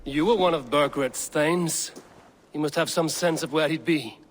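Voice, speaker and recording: An actor playing a stoic Viking warrior in a video game, speaking in a terse, direct and dry manner. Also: male voice, on the high side, normal speed, a little hiss or hum, close to an everyday voice. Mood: serious. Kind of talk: video game cutscene acting.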